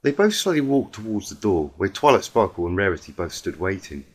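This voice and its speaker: Man reading a story with animated pitch. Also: a little fast. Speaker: narrator reading fan fiction aloud. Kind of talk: dramatic amateur story narration.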